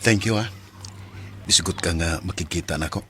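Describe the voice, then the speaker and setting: Low-voiced, earnest, apologetic Cebuano man actor. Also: a little fast, loud music behind the voice, close to an everyday voice. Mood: apologetic, eager. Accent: Cebuano Filipino. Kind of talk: radio drama acting.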